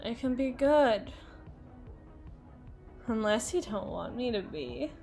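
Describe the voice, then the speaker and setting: A high-voiced, haughty lady boss played by a voice actress, tipsy and melodramatic. She draws her words out slowly and theatrically in a flirty ASMR roleplay, with music playing behind her. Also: lively intonation, a little mellow, exaggerated voice. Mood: wistful, pleading. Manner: theatrical, drawn-out, playful wordplay, slipping into breathy whispers. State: acting tipsy.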